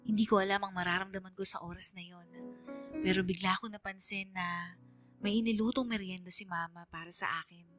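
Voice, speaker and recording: A woman's voice: medium pitch, steady tone, fairly bright tone, faint music behind, lightly acted. A woman playing a devoted teenage daughter, narrating her story as a heartfelt first-person letter in a radio drama. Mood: grieving. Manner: heartfelt, earnest, dramatic pauses.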